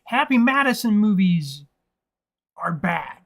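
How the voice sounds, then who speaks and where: A man's voice: sits high, very animated, moderate pace. A young man co-hosting a casual film podcast, rambling and swearing freely, laughing often. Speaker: podcast co-host.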